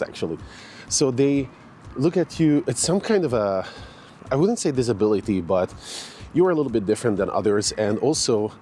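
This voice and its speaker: A man's voice: on the low side, dark tone, a little hiss or hum. A man, chatting casually with many fillers and restarts as he walks through a park. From Hungary. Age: 40s. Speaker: fitness vlogger. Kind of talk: casual walking vlog. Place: outdoor park in the morning.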